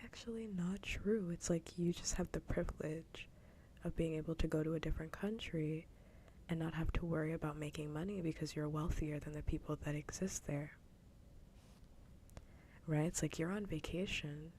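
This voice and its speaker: A woman streamer chatting casually in a soft, breathy ASMR whisper close to the microphone, with a wry, conversational way of griping. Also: low-pitched, steady tone, normal speed, a little hiss or hum. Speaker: streamer hosting a live ASMR show.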